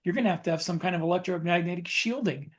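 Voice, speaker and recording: Male podcast co-host, high-pitched voice, casual and opinionated explainer. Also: quick pace. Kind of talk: casual chat about guns and military life.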